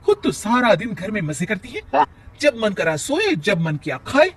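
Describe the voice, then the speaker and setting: Guy doing a complaining young indian daughter in law, high voice, bit distant from the mic. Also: big pitch swings, normal speed, heavy background noise. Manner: exasperated, complaining. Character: put-upon young working daughter-in-law, complaining, later softening.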